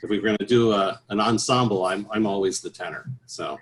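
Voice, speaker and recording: A man's voice: on the low side, a little fast, fairly bright tone, poor audio. A middle-aged man talking casually as an interview guest, in a rambling, anecdotal way. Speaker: interview guest, professional choral bass singer.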